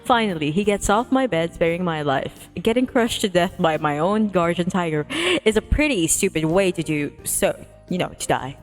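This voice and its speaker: A woman's voice: fairly low pitch, moderate pace, hardly any pauses, faint music behind. A woman livestreaming a visual novel, casually reading narration aloud with a playful manner. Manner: playful, excitable in asides.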